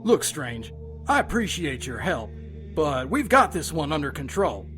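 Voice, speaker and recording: Police lieutenant, high voice, animated intonation, irritated, dismissive, audio drama. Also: male voice, measured pace, soft background music. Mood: guarded, dismissive. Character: exasperated, guarded male police lieutenant.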